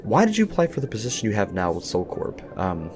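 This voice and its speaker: A man's voice: lowish voice, huge pitch swings, quick pace, a little mellow. A man hosting a casual interview, speaking politely and a little hesitantly. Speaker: talk show host, interviewer. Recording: music under the voice.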